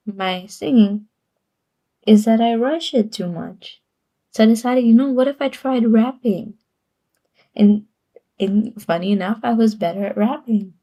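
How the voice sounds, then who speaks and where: A woman chats slowly and casually to her live stream viewers, her voice soft and mellow. She giggles often between phrases and loops back over her words as she rambles on. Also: mid-range voice. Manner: rambling with frequent repeats and restarts.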